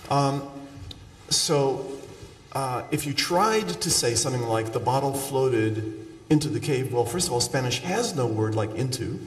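A man delivers an academic lecture in a halting, explanatory way, often breaking off to find the right word. His voice sits at an average male pitch. It is picked up from a distance in a large, echoing hall with long reverb. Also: lively intonation. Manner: halting, searching for words, explanatory asides. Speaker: linguistics lecturer. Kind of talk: academic lecture with example sentences. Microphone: far from the speaker. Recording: echoey hall, distant microphone.

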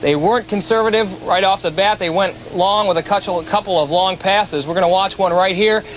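Male reporter narrating football highlights. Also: fairly quick, noisy background. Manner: energetic, conversational recap of game footage. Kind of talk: highlight play-by-play commentary. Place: TV control room full of monitors.

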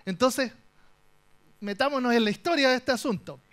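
A young Chilean man with a fairly high voice gives a conference talk from the stage. He jokes his way through the story, lively and playful, with a Chilean accent throughout. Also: normal speed. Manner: animated, joking storyteller. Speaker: conference speaker. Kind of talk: humorous tech talk.

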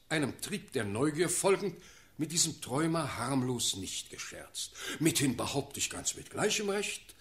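An actor playing an imperious, quick-tempered German ruler in a classical verse radio play, with declamatory, theatrical speech. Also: male voice, a little high, full character voice. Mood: scornful. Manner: declamatory, theatrical, sharp swings in force. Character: imperious, quick-tempered German prince-elector, commanding and sardonic.

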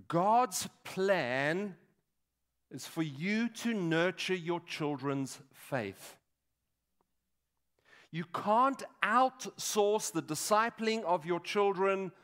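A man in his sixties preaching a sermon in a deliberate, emphatic way, pausing between short phrases. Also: high-pitched, fairly bright tone. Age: 60s. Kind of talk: sermon with statistics and teaching. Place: large dim auditorium stage at a lectern.

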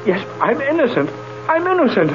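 A man's voice: very high-pitched, very animated, normal speed. An actor playing a terrified, desperate male prisoner, pleading in panicked 1930s radio drama style. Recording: muffled 1930s radio, music behind.

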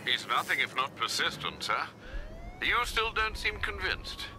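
An actor playing a loyal older butler in a video game, formal, articulate and dryly deferential. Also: male voice, fairly high pitch, very animated, unhurried, background noise. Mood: dry, wry. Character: courteous, dry, loyal older male butler assistant. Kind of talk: video game cutscene acting.

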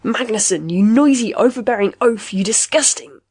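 A woman's voice: mid-range voice, sing-song, moderate pace, a little mellow, husky, voice pushed up. A woman voicing a pugnacious, self-conscious male patient in an audiobook story, with an informal, blurting manner.

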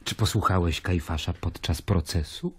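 An actor playing a stern, sceptical Roman governor in an audio drama, measured and ironic as he questions and narrates. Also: low voice, slightly rough. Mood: stern, probing. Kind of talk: literary audio drama acting.

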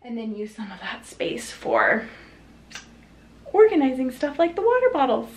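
A woman's voice: high-pitched, big pitch swings, moderate pace, very noisy. A woman in her thirties chatting casually to viewers as she vlogs in her home, asking them questions in a conversational way. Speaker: vlog host. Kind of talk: casual chat to camera while cleaning. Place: inside a home, kitchen and laundry area. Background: background music.

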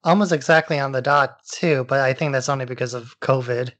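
A man's voice: medium pitch, fairly quick, fairly bright tone, no echo. Informal man recapping in a dry-sounding space. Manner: informal, irreverent. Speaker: podcast co-host. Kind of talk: casual plot recap.

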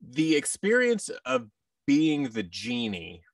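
A man's voice: medium pitch, huge pitch swings, slow pace, gravelly, no echo, clean studio sound, distant mic. A man in his thirties talking casually as an interview guest. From United States. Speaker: interview guest, actor and voice-over artist. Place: large indoor room with tables, on video call. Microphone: boom-arm microphone, headset.

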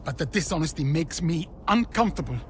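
Actor as high-voiced, grave male team colleague. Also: animated, a little fast, a little hiss or hum, lightly acted. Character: uneasy, frank male racing team colleague. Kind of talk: naturalistic video game cutscene acting.

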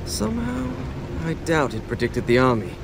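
Wry young prince, over loud ambience. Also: male voice, medium pitch, sing-song, normal speed, vocal fry, background noise. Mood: wry, sardonic. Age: young adult. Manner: wry, dry, talking to himself. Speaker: game protagonist. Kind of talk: in-game character monologue.

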